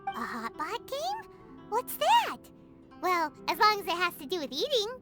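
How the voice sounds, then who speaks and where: A woman voicing a tiny, chatty, excitable childlike fairy in an anime-style video game, with a squeaky voice. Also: unhurried, exaggerated voice. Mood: excited. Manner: speaks of herself in third person, exclamatory. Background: prominent music.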